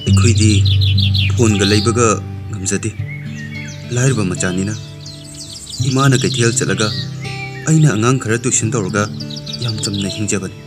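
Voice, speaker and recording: An actor as a humble, poor young student with a Manipuri accent. He speaks very quickly in a fairly low voice, audio drama style, under loud music. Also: natural voice. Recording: loud music and birdsong behind. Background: loud music and birds.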